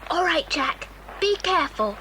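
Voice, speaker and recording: Very high-pitched British little girl. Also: lively intonation, normal speed. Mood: polite, eager. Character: eager, anxious little sister on an adventure. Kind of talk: acted children's audiobook dramatisation. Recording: faintly noisy old cassette recording.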